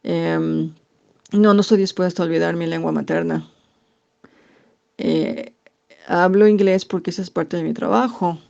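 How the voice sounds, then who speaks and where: Gravelly Mexican woman, halting answers. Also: lowish voice, fairly even intonation, darkish tone. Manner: thoughtful, halting with frequent fillers. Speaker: interviewee, English teacher. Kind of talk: answering interview questions. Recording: dull lo-fi recording.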